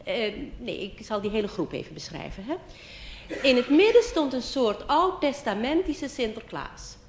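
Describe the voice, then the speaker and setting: Female sketch comedy partner, mid-pitched, animated and slightly exasperated storyteller, roomy noisy stage recording. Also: moderate pace. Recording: echoey hall, noisy old stage recording.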